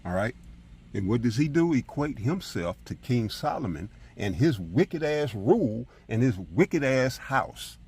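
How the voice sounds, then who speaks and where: A man with a husky voice teaching scripture as a preacher, in an emphatic, colloquial style full of rhetorical check-ins. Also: mid-range voice, very animated, unhurried, a little mellow. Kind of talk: fiery informal Bible teaching with commentary on scripture readings.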